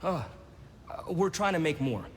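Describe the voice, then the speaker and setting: A man's voice: fairly high pitch, expressive, moderate pace, close to an everyday voice. An actor playing a hesitant, apologetic male lab scientist in a dramatic video game scene, nervous and deferential. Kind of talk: dramatic game cutscene acting. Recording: reverberant hall, faint noise.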